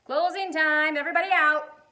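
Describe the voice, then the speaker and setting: A woman voicing a brisk, courteous laundromat manager in a storybook, businesslike and calling out. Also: high voice, fairly even intonation, bright, forceful tone, pitched above the performer's own voice. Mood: commanding.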